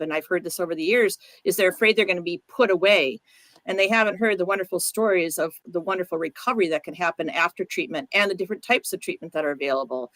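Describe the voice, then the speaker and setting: A woman guest speaks earnestly in a live online discussion. Her voice is lower than most women's. The recording is dry, with no room sound. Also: fairly bright tone, no room sound, low-quality recording. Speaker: guest expert. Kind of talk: conversational contribution.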